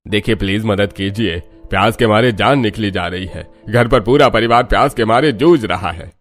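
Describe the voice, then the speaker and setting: A man's voice: on the low side, moderate pace, soft background music, natural voice. A man voicing a worried village man in a cartoon story, arguing earnestly and insistently. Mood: pleading. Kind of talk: Hindi cartoon story dialogue.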